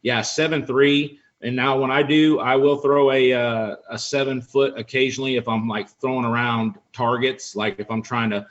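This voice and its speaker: A man's voice: mid-range voice, fairly even intonation, moderate pace, hardly any pauses, highs cut off. A man in his forties, a pro angler guest, chatting casually on a video call, rambling with lots of fillers. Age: 40s. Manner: rambling, friendly, full of fillers. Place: room in a house. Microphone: video call webcam.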